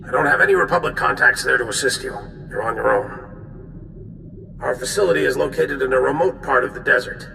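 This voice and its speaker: Gravelly, commanding general. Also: male voice, medium pitch, brisk, a little hiss or hum. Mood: stern. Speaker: military commander giving mission briefing. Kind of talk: video game cutscene acting. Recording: roomy echo, loud music behind.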